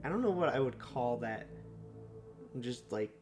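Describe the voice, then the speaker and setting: A young man talks through his first impressions of a film in a loose, unscripted ramble, stopping for fillers and correcting himself as he goes. His mid-range voice has a slight rasp from a sore throat. Faint music plays quietly underneath. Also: mid-range voice, sing-song, moderate pace. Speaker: movie reviewer.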